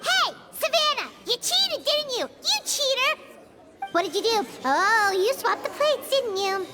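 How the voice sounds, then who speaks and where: Casting: excitable childlike fairy sidekick, game, female, extremely high voice, slow delivery with reverb. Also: heavily acted. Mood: outraged. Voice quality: squeaky, childlike. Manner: speaks of herself in third person, exclamatory. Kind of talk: video game voice acting. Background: music.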